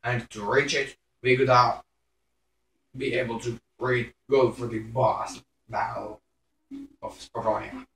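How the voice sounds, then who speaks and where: A man voicing a blunt young cartoon hedgehog hero in an improvised game parody, with a halting delivery. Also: medium pitch, level tone, gravelly, fairly bright tone. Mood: casual. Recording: roomy recording over game music.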